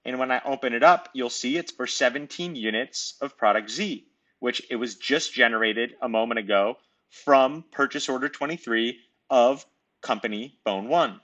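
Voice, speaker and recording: A man narrating a screen-recorded software demo, explaining each step in a conversational way. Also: mid-range voice, moderate pace. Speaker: software demonstrator. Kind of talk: screen-recorded tutorial walkthrough.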